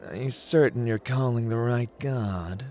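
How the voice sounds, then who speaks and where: A man playing a playful, arrogant god of luck in an ASMR roleplay, with a teasing, drawling, condescending manner and dramatic pauses. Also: mid-range voice, a little mellow, natural voice. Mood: proud, menacing. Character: playful, arrogant god of luck, amused and dangerous gambling master. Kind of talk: ASMR roleplay, theatrical monologue to listener.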